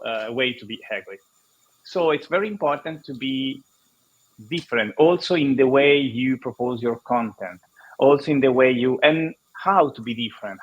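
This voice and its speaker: A man from Italy with an Italian accent, a guest explaining ideas in halting English with many restarts, over a video call. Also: medium pitch, a bit bright, breathy edge, dull audio. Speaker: guest speaker. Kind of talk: online conference interview.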